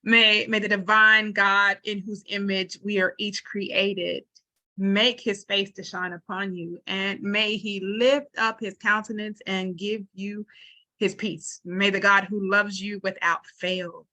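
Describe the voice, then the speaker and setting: A woman's voice: mid-range voice, level tone, slow pace, bright, forceful tone. A woman moderator closing a panel with thanks and a solemn spoken blessing.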